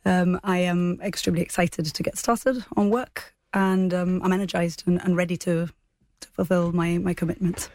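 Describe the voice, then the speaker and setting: A woman's voice: fairly low pitch, steady tone, normal speed, darkish tone, dry recording. A woman speaking as a political guest in a formal interview, earnest and careful with her phrasing.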